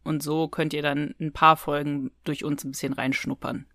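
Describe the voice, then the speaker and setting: A woman's voice: deep voice, little pitch movement, moderate pace, fairly bright tone, dry recording, studio quality. A woman co-hosting a podcast in casual, conversational speech. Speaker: podcast co-host.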